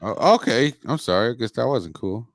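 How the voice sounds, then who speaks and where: A man's voice: medium pitch, animated, moderate pace, no room sound, clean studio sound. A man with an American accent hosting a casual podcast, with teasing, exasperated banter. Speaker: podcast host. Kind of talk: casual chat.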